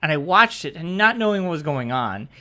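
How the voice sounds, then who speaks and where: A man's voice: a little high, sing-song, a little fast, sharp tone. A man in his thirties hosting a casual podcast, easygoing and a little rambling, often trailing off with "I guess.". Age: 30s. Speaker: podcast host.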